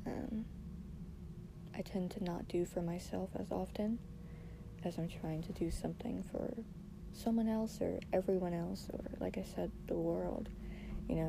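Host woman, hoarse and quiet, voice cracking into fry, halting reflective monologue, noisy background hiss. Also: fairly low pitch. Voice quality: raspy. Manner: soft-spoken, halting, reflective, many pauses. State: losing her voice, hoarse. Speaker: podcast host. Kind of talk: personal solo podcast monologue.

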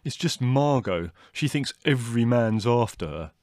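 A man's voice: medium pitch, sing-song, moderate pace, dry recording, studio quality, pitched above the performer's own voice, natural voice. A man voicing a blunt, belligerent young man in a storybook reading.

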